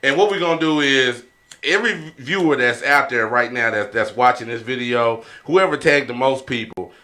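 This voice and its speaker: A man's voice: medium pitch, normal speed, pushed and bright. A man hosting a podcast casually, speaking colloquially with repeated catchphrases and frequent affirmations. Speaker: podcast host.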